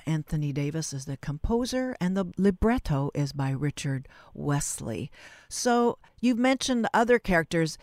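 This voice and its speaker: Halting female radio interviewer, dry sound. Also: low-pitched, a little mellow, dry recording. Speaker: radio show host and interviewer.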